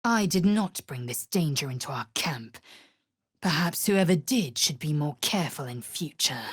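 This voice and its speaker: A woman voicing a proud, fierce, imperious githyanki warrior in a video game, with a husky, raspy voice and a clipped, haughty, formal manner. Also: deep voice, lively intonation, moderate pace, dry recording, clean studio sound. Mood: measured, grave. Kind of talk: game voice acting, short scripted lines.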